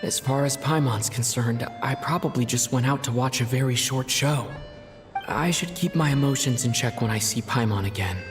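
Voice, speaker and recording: Calm, reserved male game hero, voiced by an actor. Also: mid-range voice, normal speed, lightly acted. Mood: introspective. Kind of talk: video game dialogue acting. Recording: studio voice under clear game music. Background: background music.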